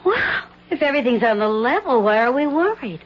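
Fretful american secretary, quick chatter, husky, dull old radio. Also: female voice, high voice, big pitch swings. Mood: worried. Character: worried American office secretary. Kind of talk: 1970s radio drama acting. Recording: dull 1970s radio broadcast.

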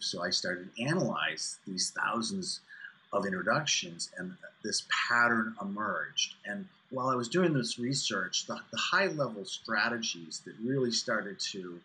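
A man in his fifties explaining things emphatically and deliberately as an interview guest on a video call. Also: fairly low pitch, bright, forceful tone, slightly rough, low-quality recording. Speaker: guest, author and executive coach. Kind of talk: interview answers on business networking. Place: small furnished room indoors, on a video call. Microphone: video call, computer at desk distance.

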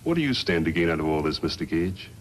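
A man's voice: low voice, very animated, fast. An actor playing a tough, crooked mine foreman in a 1950s radio western, blunt and confident. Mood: probing. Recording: old radio recording, faint noise.

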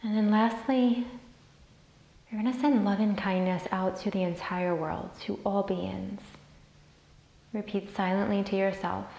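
A woman leading a guided meditation as a teacher, soothing and deliberate as she repeats phrases. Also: mid-range voice, gravelly, warm tone. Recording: roomy, echoey sound.

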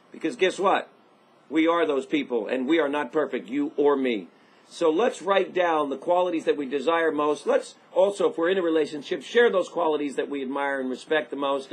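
A man's voice: fairly high pitch, husky. A man in his fifties hosting a daily motivational talk, speaking straight to camera in an upbeat coaching manner and repeating his key points. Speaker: motivational host. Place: indoors by large window, high-rise view.

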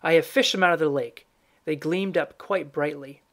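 A man's voice: fairly high pitch, normal speed, fairly bright tone. A grave storybook reaper, played by a male narrator, stern and formal. He makes firm declarations in a slightly raised male voice, as the gardener of human lives. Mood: solemn.

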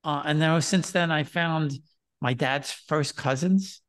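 An older man hosting a video call conversation, talking in a rambling, hesitant way with many pauses. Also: a little high. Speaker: host. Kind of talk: casual conversation. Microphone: headset on video call.

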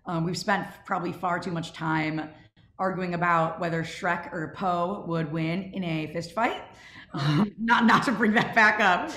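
Low-voiced young woman in an echoey room. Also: unhurried, fairly bright tone. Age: young adult. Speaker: Q&A moderator from a student audio drama club. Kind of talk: casual Q&A hosting. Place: indoors at home on a group video call. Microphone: video call microphone. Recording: echoey room over video call.

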